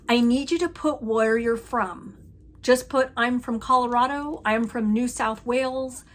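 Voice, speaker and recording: A woman hosting a casual craft video, chatting enthusiastically as she shows off patterns and giveaway items, often laughing and exclaiming. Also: a little high. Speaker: flosstube host. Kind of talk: casual show-and-tell chat.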